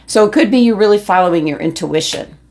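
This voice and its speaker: A woman giving a weekly tarot reading, losing her voice, with a gentle, meandering delivery full of pauses and ums. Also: medium pitch, lively intonation. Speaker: tarot reader.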